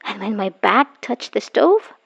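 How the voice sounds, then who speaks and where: A woman acting a wounded, bitter woman leaving her abusive partner, in an emotional, halting dramatic audio roleplay monologue. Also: mid-range voice, big pitch swings, vocal fry, slightly rough, heavily acted. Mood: bitter, seething. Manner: emotional, halting, sighing, dropping into whispers. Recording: dull lo-fi voice recording.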